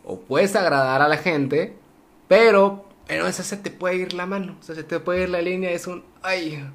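A Mexican man hosting an interview in a loose, joking way, using lots of slang. His voice sits somewhat high for a man. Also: normal speed. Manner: laid-back, joking, uses slang. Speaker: host. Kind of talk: casual interview chat.

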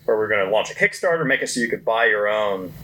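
Lively, bright-voiced man chatting on podcast. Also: fairly low pitch, fast, low-quality recording. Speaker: podcast panelist. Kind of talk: casual chat.

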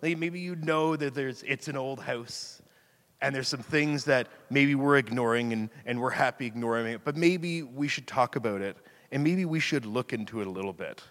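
A man of middle pitch preaches in a relaxed, chatty way, quick to laugh at himself. His voice carries a slight rasp. A long reverb trails each phrase, as if he is speaking in a large church hall. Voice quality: slightly raspy from screaming into a mic. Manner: chatty, self-deprecating, laughs easily. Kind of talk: casual conversational sermon. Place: church sanctuary.

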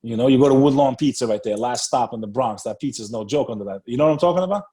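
A man's voice: medium pitch, very fast, mellow. A man hosting a podcast, interviewing casually in a streetwise, profane way and often repeating his phrases. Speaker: podcast host.

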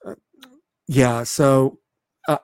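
A man in his thirties chatting casually as a podcast host, halting and often repeating words as he goes. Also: steady tone, a little mellow, clean tone, dry recording, clean studio sound. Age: 30s. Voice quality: deep voice. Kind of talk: casual chat.

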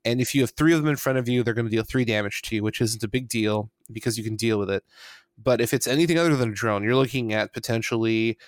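An animated man co-hosting a casual card-game podcast, laughing easily and reasoning aloud through the numbers. His voice sits at an ordinary middle pitch. The recording is dry and close. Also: quick pace, dry recording. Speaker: podcast co-host.

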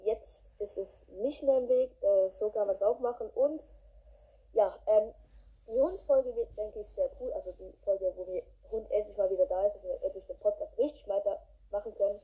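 A woman hosts a podcast in a loose, rambling way, full of fillers. Her voice is very dark and husky, and the recording sounds dull and lo-fi. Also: medium pitch, moderate pace. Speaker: podcast host. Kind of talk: casual podcast talk.

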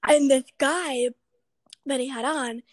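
A young woman hosting a podcast in a casual chat, with a raspy voice and a chatty, rambling way of talking. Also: high voice, steady tone, slow pace, no room sound, clean studio sound. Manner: chatty, rambling, many fillers. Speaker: podcast host.

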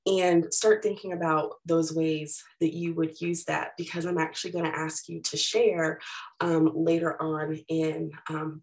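A middle-aged woman, a little raspy from allergies, giving a chatty webinar presentation with plenty of fillers. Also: deep voice, darkish tone, poor audio. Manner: casual and chatty, many fillers.

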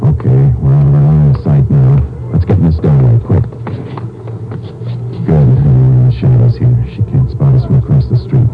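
An actor playing a laconic, folksy Texas Ranger detective in an old-time radio crime drama, speaking matter-of-factly. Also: male voice, extremely low pitch, little pitch movement, moderate pace, narrow, tinny audio. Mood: terse, suspicious. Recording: band-limited vintage radio recording with music.

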